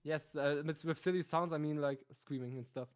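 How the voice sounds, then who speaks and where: A man joining a livestream as a guest, chatting casually and calmly explaining how his voice technique works. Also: a little high, fairly quick, clear, resonant tone. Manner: calm, matter-of-fact, explains vocal technique. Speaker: stream guest. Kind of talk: casual chat.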